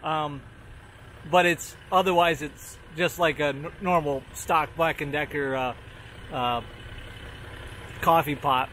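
Guy in his 30s testing stuff outdoors, kinda high voice, says uh a lot, calm and plain. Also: slow, long pauses, slight background noise. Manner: hesitant, many uhs and restarts, calm matter-of-fact. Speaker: vlogger testing gear. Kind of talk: casual hands-on demo talk. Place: outdoors by a lake, later inside a vehicle.

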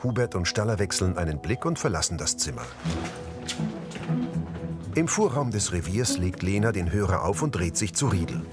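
A man reading audio description for a TV series in a neutral, measured way. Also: deep voice, lively intonation, loud music behind the voice.

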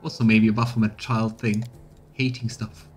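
A man's voice: on the low side, flat delivery, moderate pace, breathy edge. A man in his thirties doing casual, playful game commentary and narration as a streamer, musing as he goes. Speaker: streamer, let's player. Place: small indoor room on webcam.

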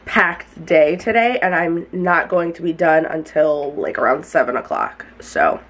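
A young woman, a hairstylist, chatting casually and rambling to a handheld camera in small home rooms and a car, with lots of "like" fillers. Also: mid-range voice. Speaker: hairstylist and vlogger. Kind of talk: casual vlog chat to camera. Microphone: handheld camera at arm's length.

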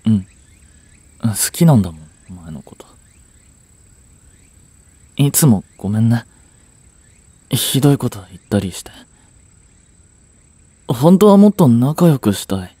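A man's voice: mid-range voice, big pitch swings, measured pace, pauses often, warm tone, slightly rough, dry recording. A young man acting a curt, flustered boyfriend who hides his affection, with a hesitant, brusque delivery in a romance audio drama. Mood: tender, earnest. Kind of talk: Japanese romance audio drama aimed at women.

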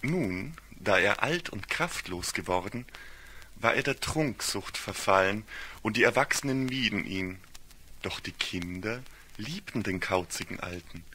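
A man's voice: fairly low pitch, lively intonation, unhurried, sharp tone, slight background noise. A man narrating a literary audio story in a solemn, ornate fairy-tale storytelling manner.

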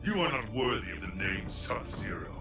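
An actor playing a bitter, proud, menacing ninja villain in a video game, with a gravelly, commanding voice. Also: male voice, on the low side, big pitch swings, normal speed. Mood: cold menace. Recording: loud music behind.

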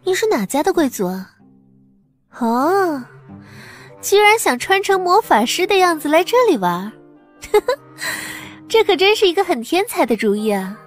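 Alluring courtesan, coy, teasing, high pitch, very lively intonation, fantasy audiobook. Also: female voice, a little slow, long pauses, full character voice. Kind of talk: dramatized fantasy audiobook acting. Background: music behind the voice.

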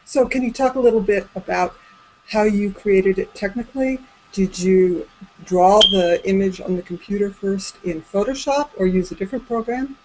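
A woman's voice: medium pitch, moderate pace, darkish tone, poor audio. A woman in her forties asking casual interview questions over a video call from a room indoors. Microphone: computer mic on a video call. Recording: faint background noise on video call.

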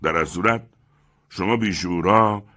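A man's voice: deep voice, bright, forceful tone. A man voicing a proud, touchy middle-aged man who talks in a haughty, declaiming way. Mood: self-satisfied.

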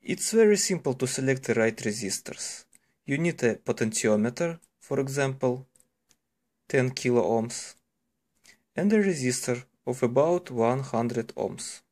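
A man explains a technical procedure step by step in English. His delivery is slow and careful, with halting phrasing and simple wording, like a hobbyist giving instructions. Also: medium pitch, animated, a little mellow. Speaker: electronics hobbyist giving a tutorial. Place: indoors at a desk, hands-on demonstration behind the camera.

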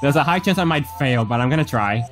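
A man's voice: medium pitch, lively intonation, quick pace, soft background music. A man speaking casually in a group chat.

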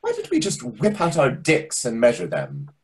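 A man's voice: medium pitch, huge pitch swings, normal speed, creaky, slightly rough, heavily acted. A man playing a vain, catty, sarcastic waiter of about forty in a readers theatre comedy over a video call. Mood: indignant.

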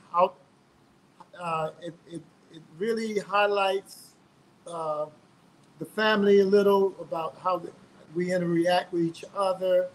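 A man's voice: high voice, a little hiss or hum, rough recording. A middle-aged man from South Carolina, speaking earnestly as an interview guest on a video call from home, often repeating words as he gathers his thoughts. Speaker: guest, singer. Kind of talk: casual interview. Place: home room indoors.

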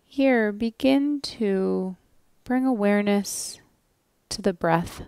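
A woman guides a relaxation practice at a very slow, unhurried pace. Her voice is smooth and clear at a medium pitch, with gentle, soothing instructions and long pauses. The sound is close and dry. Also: no room sound. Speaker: yoga nidra instructor.

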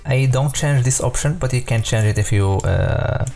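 Mellow-voiced man explaining software casually. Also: medium pitch, moderate pace, soft background music. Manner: loose and informal, many fillers and self-corrections. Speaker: software tutorial presenter. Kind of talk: casual screen-recorded tutorial.

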